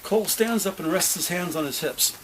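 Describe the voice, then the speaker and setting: Higher-pitched male audio drama narrator. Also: expressive, a little fast, fairly bright tone, slight background noise, low-quality recording. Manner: detailed, descriptive storytelling.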